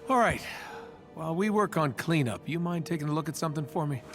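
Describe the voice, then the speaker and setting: Cocky american superhero inventor in a game, highish voice, super animated and quippy. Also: male voice, fast, faint music behind. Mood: brisk, playful. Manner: glib, casual, quippy. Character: cocky, wisecracking middle-aged male superhero inventor. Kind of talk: video game acting.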